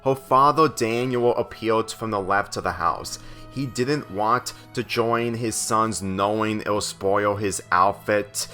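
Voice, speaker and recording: Slow, bright-voiced man reading a story. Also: fairly low pitch, soft background music. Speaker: fan fiction reader. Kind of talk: scripted story narration, reading aloud.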